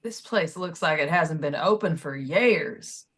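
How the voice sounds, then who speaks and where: A determined, plain-spoken character in a thriller, read by a woman at a table read. Her voice is a touch low and direct. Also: lively intonation, measured pace. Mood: determined, wry.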